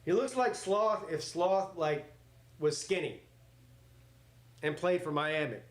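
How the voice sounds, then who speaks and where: A man's voice: fairly high pitch, lively intonation, takes it slowly. A man in his forties hosting a live sports watch party, mixing casual chat with play-by-play in a blunt, joking, rambling way. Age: 40s.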